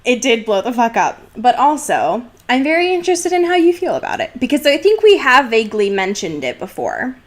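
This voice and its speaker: Animated, high-voiced young woman bantering. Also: a little fast, hardly any pauses. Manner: irreverent, profane, slangy. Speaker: podcast co-host. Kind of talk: casual podcast banter.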